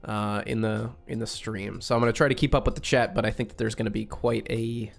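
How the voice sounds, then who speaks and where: A young man from Western New York hosting a casual live stream, a little raspy and congested from a cold, chatty and reading out the chat. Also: on the low side, flat delivery, a little fast, faint music behind. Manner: chatty, reads out chat with friendly asides.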